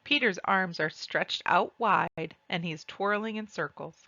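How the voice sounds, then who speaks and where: A woman reading a children's storybook aloud and describing its pictures, with deliberate, expressive phrasing. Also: lowish voice, no echo, lo-fi sound. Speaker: read-aloud narrator.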